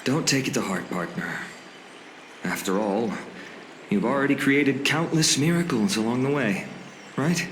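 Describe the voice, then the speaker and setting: An actor playing a thoughtful, loyal young hero in a video game, earnest and conversational. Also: male voice, medium pitch, animated, a little slow, long pauses, fairly bright tone, echoey room, slight background noise, rough recording. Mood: reassuring, resigned. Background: music.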